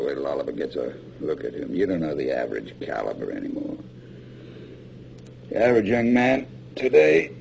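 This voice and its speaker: A man's voice: low voice, background noise. An actor playing an older American salesman father, blustering and stubbornly hopeful, who hectors and talks over everyone in a stage-drama style. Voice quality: husky, gravelly. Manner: hectoring, cuts others off.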